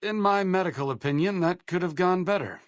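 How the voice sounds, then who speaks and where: A man's voice: sits high, brisk, dry recording, lightly acted. A man voicing a self-deprecating, bookish wasteland doctor in a video game, with dry, sarcastic and articulate delivery. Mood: wry. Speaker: video game companion character. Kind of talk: scripted game voice lines.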